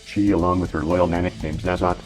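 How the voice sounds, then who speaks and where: A man giving dramatic, grandiose scripted narration for an adventure serial, with deliberate phrasing. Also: low-pitched, fairly even intonation, darkish tone, soft background music, natural voice.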